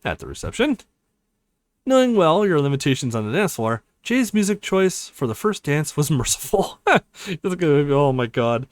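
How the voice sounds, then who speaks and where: A man's voice: on the high side, big pitch swings, normal speed, no echo. A man hosting a let's play, reading a visual novel aloud expressively with casual reactions.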